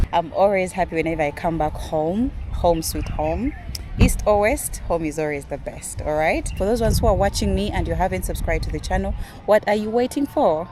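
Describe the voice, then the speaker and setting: A young Ugandan woman with a Ugandan accent, chatting excitedly to her viewers in a casual outdoor vlog from a garden. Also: fairly low pitch, slight background noise. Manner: excitable, chatty, addresses viewers as "you guys". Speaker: vlogger. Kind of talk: casual vlog talk to camera.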